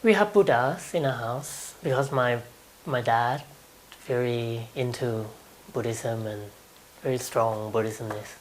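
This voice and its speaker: A man's voice: medium pitch, measured pace, slight background noise, rough recording. A man in his twenties from Thailand, speaking with a Thai accent and answering interview questions hesitantly, with frequent ums and light laughs.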